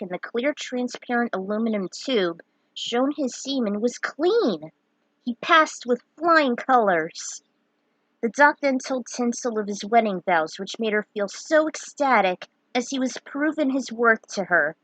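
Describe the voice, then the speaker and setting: A woman's voice: a little high, animated, unhurried, a bit bright, no echo, poor audio. Woman narrating a story, dry close sound. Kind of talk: reading a fan fiction story aloud with asides.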